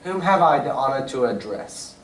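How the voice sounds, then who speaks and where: A distant, roomy stage recording of a man playing a self-assured detective in an old-style radio play. He is urbane and precise, lecturing with lively swings of pitch. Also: moderate pace, slight background noise, natural voice. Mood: composed, knowing. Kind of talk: stage reading of a golden-age radio drama.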